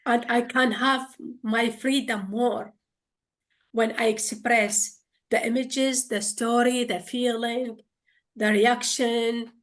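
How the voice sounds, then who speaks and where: A woman whose first language is Arabic speaks slowly and haltingly in a panel conversation. She often pauses to search for her words. Her voice sits fairly high. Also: fairly even intonation, fairly bright tone. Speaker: poet.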